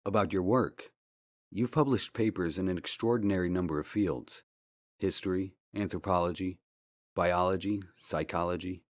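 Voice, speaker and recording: An actor playing a polite, earnest amateur investigator in an adventure game, speaking in a courteous, measured way. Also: male voice, low voice, lightly acted. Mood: polite, curious. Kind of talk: scripted point-and-click game dialogue. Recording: music under the dialogue. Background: background music.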